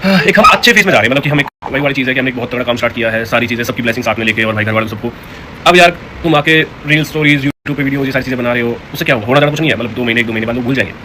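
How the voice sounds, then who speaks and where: Very fast-talking man with background vehicle noise. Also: medium pitch, bright, forceful tone. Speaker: vlogger. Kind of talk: casual direct address to viewers.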